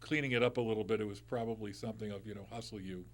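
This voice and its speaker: A loud-voiced middle-aged man talks into a studio microphone, hosting a live call-in radio show. He chats loosely and conversationally, circling back with restarts and little asides. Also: on the low side, fairly even intonation, very fast.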